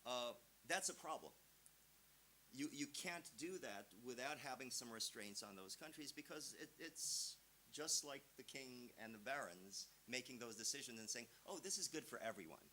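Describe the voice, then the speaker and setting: A man's voice: mid-range voice, moderate pace, bright, forceful tone, low-quality recording. A man from Romania, a visiting professor answering seminar questions in an explanatory, emphatic, conversational manner. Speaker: visiting professor, guest lecturer. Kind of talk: academic seminar answer with examples. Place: seminar room. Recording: clear background hiss.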